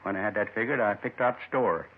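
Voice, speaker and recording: A muffled 1950s radio drama recording carries a male actor as a lonely old man in his seventies. His voice is husky, his manner gentle and folksy, and he rambles wistfully in short, plain phrases as he confesses. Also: on the low side, quick pace, lightly acted. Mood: matter-of-fact. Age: 70s.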